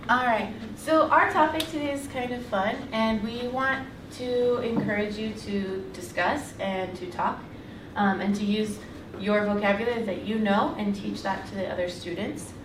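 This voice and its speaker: A woman's voice: mid-range voice, moderate pace, few pauses. A woman teacher explaining a class activity with encouraging, hesitant phrasing. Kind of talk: classroom instructions. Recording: echoey room, distant mic, faint noise.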